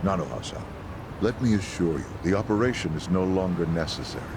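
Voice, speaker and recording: Deep-voiced, formal, composed official. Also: male voice. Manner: measured, formal. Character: formal, composed male official, courteous and reassuring. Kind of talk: English video game dub acting. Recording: noisy outdoor urban ambience.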